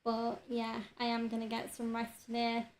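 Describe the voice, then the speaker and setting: A woman game streamer chatting casually over her play, her voice slightly croaky from a cold, with relaxed talk and frequent fillers. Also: on the high side, moderate pace, a little hiss or hum. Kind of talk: casual let's-play commentary.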